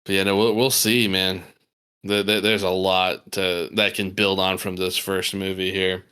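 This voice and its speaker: A man in his thirties, a podcast guest, laid-back and quick to laugh, calling others dude. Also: sits low, little pitch movement, sharp tone, smooth. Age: 30s. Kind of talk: casual chat.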